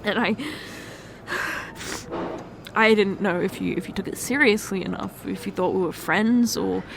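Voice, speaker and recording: A young woman performing an emotional roleplay confession, halting and tearful, with many sighs and restarts. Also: medium pitch, gravelly, noisy background. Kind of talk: emotional ASMR fiancée roleplay monologue.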